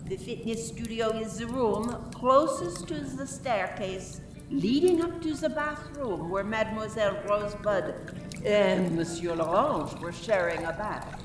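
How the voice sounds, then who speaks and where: A woman playing a formal, methodical French police inspector in a radio play, questioning deliberately and dropping in French phrases. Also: mid-range voice, over music, close to an everyday voice. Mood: formal, probing. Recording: echoey room from far off, faint noise.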